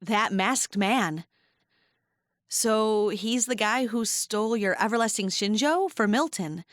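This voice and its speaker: A woman playing a brash, excitable female sidekick in an audio drama, with casual delivery. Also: medium pitch, takes it slowly, dry recording, studio quality. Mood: thoughtful, casual.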